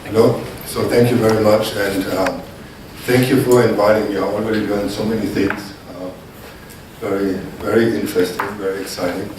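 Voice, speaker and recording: A man's voice: on the low side, fairly even intonation, normal speed, noisy background. German-accented man lecturing in an echoey hall. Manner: often checks in with "okay?". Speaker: research scientist lecturer. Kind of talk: conference lecture. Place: conference hall. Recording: distant mic in an echoey hall.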